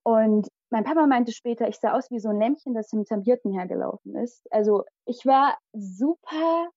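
A young woman whose first language is Malayalam, telling a personal story in a lively, dramatic way as an interview guest. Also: a little high, normal speed, dry recording, dull audio.

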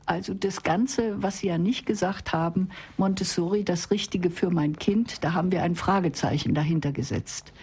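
A woman's voice: fairly low pitch, moderate pace, hardly any pauses, darkish tone, slightly rough. A woman speaking as an expert guest in a radio interview, explaining things for parents and teachers.

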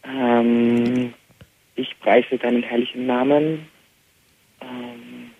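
Gravelly young man on a tinny phone line. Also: medium pitch, a little hiss or hum. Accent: Austrian German. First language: Arabic. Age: young adult. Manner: relaxed, many filler pauses. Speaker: interview guest, band musician. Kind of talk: phoned-in radio interview. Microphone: telephone.

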